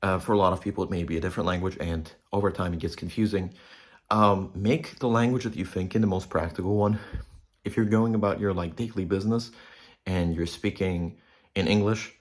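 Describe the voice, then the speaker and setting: Low-voiced young man. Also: steady tone, brisk. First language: Polish. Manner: rambling, blunt, swears freely. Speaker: vlogger. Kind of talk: casual monologue to camera.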